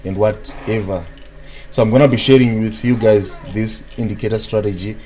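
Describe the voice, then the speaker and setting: A man's voice: fairly low pitch, fairly even intonation, normal speed, a crowd in the background. A man from Zimbabwe with a Zimbabwean accent, hosting a live trading analysis stream, rambling and tagging sentences with "what, what" while he answers viewers' chat. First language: Shona. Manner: rambling, fills gaps with "what, what".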